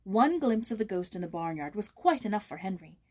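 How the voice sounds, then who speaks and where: Female audiobook reader, slightly husky tone, expressive and theatrical storytelling delivery. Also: medium pitch, fairly quick. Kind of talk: dramatic scripted storybook narration.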